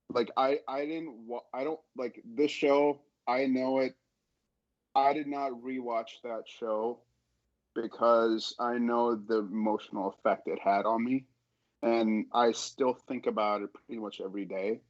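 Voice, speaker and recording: A man talking casually as an interview guest, earnest and halting, often stammering over repeated words. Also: medium pitch, lo-fi sound. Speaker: audio drama creator and editor, podcast guest.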